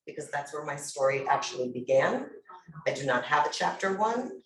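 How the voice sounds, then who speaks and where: A woman's voice: sits low, distant mic, a little hiss or hum. A middle-aged woman from New York introducing herself as a workshop presenter, telling her story candidly and bluntly. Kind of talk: personal introduction at a conference panel. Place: conference room. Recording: echoey room, voice from afar.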